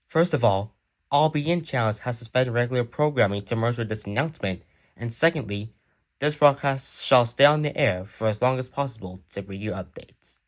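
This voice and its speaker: A man's voice: mid-range voice, fairly quick. A man speaking as an emergency broadcast announcer, reading a scripted news announcement in a formal, solemn manner.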